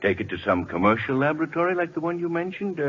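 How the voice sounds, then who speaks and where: A man's voice: a little high, sing-song, quick pace. An actor playing a puzzled, mild-mannered male college professor, hesitant and fussy, in old radio drama style. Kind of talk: 1940s-50s radio drama acting. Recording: dull lo-fi old radio broadcast.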